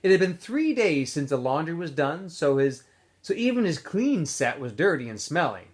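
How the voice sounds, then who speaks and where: A man's voice: fairly high pitch, very animated, normal speed. A male teacher casually recapping a story for students, then reading a novel aloud expressively.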